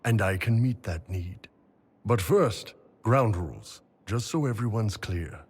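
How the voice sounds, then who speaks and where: A man voicing a big, confident mercenary warrior in a video game, husky, blunt and casual. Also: on the low side, animated, measured pace, a little mellow. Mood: frank, intimate. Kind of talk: video game dialogue acting.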